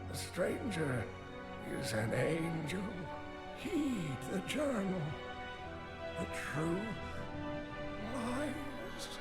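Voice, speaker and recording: A frail old scholar on his deathbed, grave and wise, in a game cutscene. His pitch is very high and swings wildly as he labors through each solemn phrase. Loud music surrounds him. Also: sing-song, full character voice. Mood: weak, solemn. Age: older. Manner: halting, slow, solemn. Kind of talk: video game voice acting. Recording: echoey, under very loud music.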